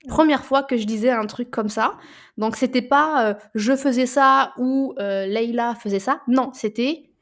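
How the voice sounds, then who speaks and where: A woman, co-hosting a casual book podcast, animated and often repeating words as she searches for them. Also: on the high side, unhurried. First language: French. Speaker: podcast co-host.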